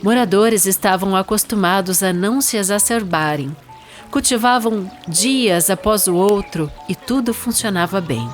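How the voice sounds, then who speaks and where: A Brazilian woman narrates a short story in a mid-range voice. Her phrasing is deliberate and expressive, in the style of a dramatized literary reading. Music runs underneath her narration. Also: medium pitch.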